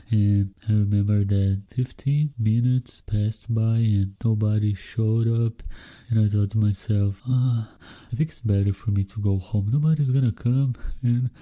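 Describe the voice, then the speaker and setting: A man's voice: on the low side, warm tone. A young man from Brazil with a Brazilian Portuguese accent, hosting a solo podcast and speaking encouragingly straight to English learners. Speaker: podcast host.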